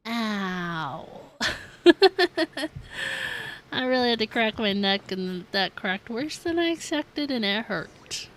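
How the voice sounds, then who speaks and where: Slow-paced, giggly woman streaming. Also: on the high side, sing-song, a little hiss or hum. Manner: chatty, giggly, musing aloud. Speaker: game streamer, host. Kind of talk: casual live-stream chat to viewers. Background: game music.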